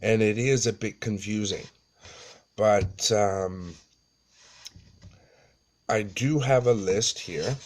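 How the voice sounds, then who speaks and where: A man in his thirties giving a casual how-to walkthrough in a halting, plain-spoken way, pausing often. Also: fairly low pitch. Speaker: amateur tutorial presenter. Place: small dim room indoors.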